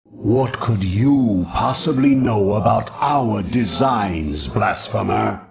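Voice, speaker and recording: A man's voice: fairly low pitch, expressive, takes it slowly, creaky, noisy background, heavily acted. A man playing a haughty, zealous alien judge, a cold video game villain who speaks with pompous, formal contempt. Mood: indignant, scornful. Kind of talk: theatrical video game acting.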